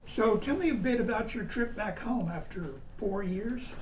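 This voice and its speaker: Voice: mid-range voice, lively intonation, moderate pace, darkish tone. Off-camera interviewer, brief prompts, heard from afar in a reverberant room with light music. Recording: roomy, distant, under music.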